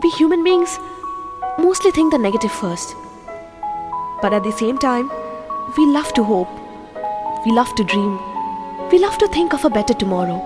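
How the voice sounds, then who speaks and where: A woman's voice: fairly high pitch, sing-song, moderate pace, pauses often. An actress playing a young woman from India with an Indian English accent, earnest and reflective in an audio drama. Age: young adult. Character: young woman Priya, hurt but composed. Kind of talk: amateur audio drama acting. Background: music.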